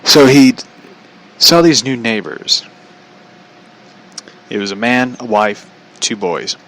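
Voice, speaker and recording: A man's voice: medium pitch, slow pace, a bit bright, low-quality recording. An American man telling stories casually as a show host, rambling in a blunt, slangy, profane way. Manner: chuckles often while talking.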